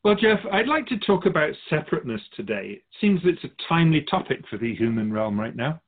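A man playing a calm, wise otherworldly spirit guide in a radio drama, measured, philosophical and gently teasing. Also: on the high side. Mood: calm, grave. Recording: muffled phone-like narrow band.

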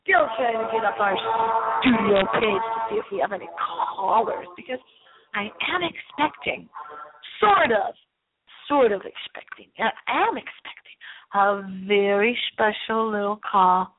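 A woman's voice: mid-range voice, animated, measured pace. Tinny phone-line woman, campy spooky radio host. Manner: campy, theatrical, playfully spooky. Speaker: host of a late-night spooky internet radio show. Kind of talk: live, rambling radio chat.

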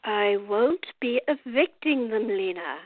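A woman's voice: fairly high pitch, animated, a little slow, no room sound, phone-quality sound. A woman playing a stubborn, fair-minded old woman, cantankerous yet composed, in a radio theater drama. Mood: firm.

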